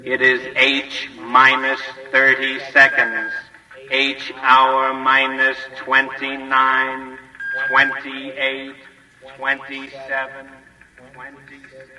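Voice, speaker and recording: An actor playing a solemn, impersonal military countdown announcer, measured and monotone, in a vintage radio drama. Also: male voice, mid-range voice, full character voice. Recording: distant, echoey, reverberant vintage radio recording.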